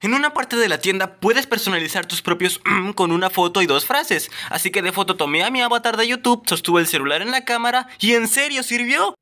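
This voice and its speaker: A man narrating a comedic animated story in an expressive, exaggerated way. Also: sits high, fairly quick, hardly any pauses. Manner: expressive, exaggerated comic delivery. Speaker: narrator of a fan-dubbed animated story video.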